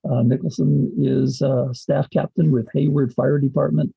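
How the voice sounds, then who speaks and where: A man with a gravelly, husky voice presenting a slideshow as host on a video call. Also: medium pitch, flat delivery, moderate pace, no echo, muffled recording. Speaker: host introducing a guest speaker.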